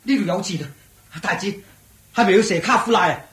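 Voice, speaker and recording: A man acting a prince's loyal, impatient manservant in a radio drama, excitable and colloquial. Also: sits high, a little fast. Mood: obedient. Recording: echoey radio drama recording.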